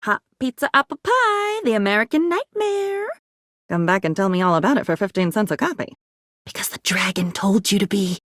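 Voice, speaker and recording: A woman's voice: medium pitch, animated, fairly quick, fairly bright tone, no room sound, studio quality. An actress playing an unhinged, dreamy woman with a volatile temper who rambles disjointedly in a video game. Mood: manic musing. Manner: disjointed rambling, swinging between wistful and agitated. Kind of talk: video game character voice acting.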